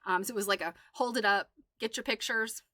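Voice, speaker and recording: A woman's voice: medium pitch, a little fast, pushed and bright, dry recording, clean studio sound. A woman hosting a casual livestream, chatting and reading aloud in an enthusiastic, exclaiming way. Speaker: livestream host.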